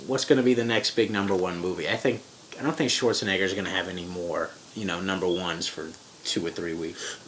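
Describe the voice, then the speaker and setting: Lower-voiced man hosting a casual chat. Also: steady tone, fairly bright tone, a little hiss or hum, rough recording. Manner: leads topics, quick reactions and questions. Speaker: host.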